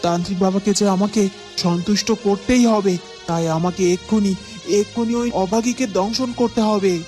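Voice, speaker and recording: A woman's voice: medium pitch, fairly even intonation, brisk, warm tone, soft background music, close to an everyday voice. A woman voicing a scheming female serpent in a fairy-tale cartoon, urgent, anxious and melodramatic.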